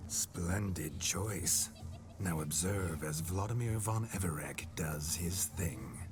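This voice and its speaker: A smug, low-voiced roguish charmer. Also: male voice. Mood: flirting. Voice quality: deep and low, often near a whisper. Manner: slow, wry, seductive drawl. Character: man possessed by a noble ghost, gruff, flirtatious, smug. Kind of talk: video game cutscene voice acting. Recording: game soundtrack music behind.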